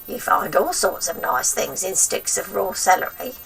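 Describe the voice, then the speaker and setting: A woman's voice: mid-range voice, sing-song, normal speed, fairly bright tone, recorded from a distance, heavily acted, low-quality recording. A woman voicing a sinister, gloating old grandmother in a storybook, drawing out nasty words with menacing relish. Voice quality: throaty, breathy whisper at times.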